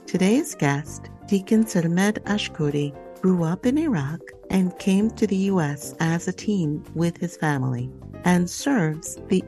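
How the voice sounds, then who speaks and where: A woman hosting a podcast, reading a scripted introduction and asking interview questions in a clear, deliberate way. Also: fairly low pitch, darkish tone. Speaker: podcast host.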